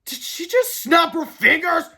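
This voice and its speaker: A man voicing an excitable schoolboy prankster from a children's storybook, exclaiming dramatically. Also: high-pitched, moderate pace. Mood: worried.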